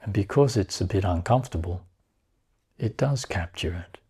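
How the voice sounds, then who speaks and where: Calm guy doing guided meditation, soft dark husky voice, takes his time w long pauses. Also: low voice.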